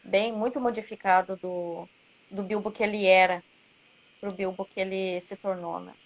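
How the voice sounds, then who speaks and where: A woman's voice: mid-range voice, steady tone, normal speed, poor audio. A woman author talking casually about her book, reflecting aloud and closing her points with tag questions.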